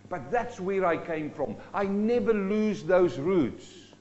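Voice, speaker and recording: A man from South Africa preaching a sermon, swinging between loud rising questions and low, slow emphatic drops. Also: lively intonation, highs cut off, a little hiss or hum. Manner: dynamic, rising and dropping sharply for emphasis.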